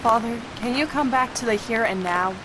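A woman's voice: on the high side, moderate pace, noisy background, natural voice. A woman playing a worried, insistent young woman in a radio drama, with an urgent, exasperated manner. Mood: worried, sceptical.

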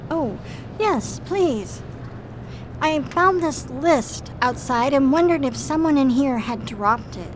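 A woman playing a calm, earnest female android partner in an audio drama, curious about humans, speaking in a measured way. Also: high-pitched, noisy background. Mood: earnest, inquiring.

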